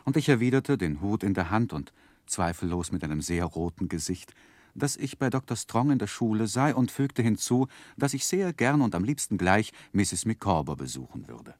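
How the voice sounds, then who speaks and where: A gentleman looking back on his youth as narrator of a radio play. His low voice moves expressively through literary prose, wry and reflective, in a dry studio sound. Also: sing-song, normal speed, no echo. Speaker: first-person narrator.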